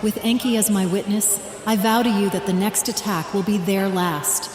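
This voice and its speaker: A woman's voice: medium pitch, fairly even intonation, a little mellow, lightly acted. A woman playing a devout, fervent religious leader in an audio drama, with solemn, declamatory delivery. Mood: fervent, resolute. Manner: solemn, declamatory, deliberate oratory. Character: fervent, devout female religious leader rallying her people. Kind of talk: first-person audio drama narration with speeches and prayer. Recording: echoey hall reverb, ambient noise behind.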